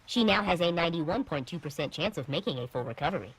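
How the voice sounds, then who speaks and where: A woman voicing a calm, literal, obedient ship's computer in an audio drama, formal and emotionless. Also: low voice, fast talker, slightly rough, low-quality recording. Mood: neutral.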